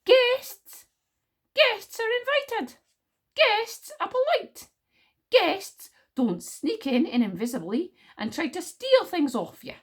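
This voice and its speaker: Woman playing a feisty young girl, high-pitched. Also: animated, moderate pace, hardly any pauses, slightly rough. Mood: outraged. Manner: emphatic, forthright. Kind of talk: audiobook character voice.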